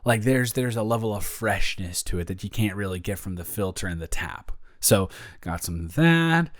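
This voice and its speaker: A young man playing a caring, playful roommate with a crush in an improvised audio roleplay, rambling and halting in a casual, teasing way. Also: fairly low pitch, lively intonation, no room sound, studio quality, close to an everyday voice. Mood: casual, reassuring.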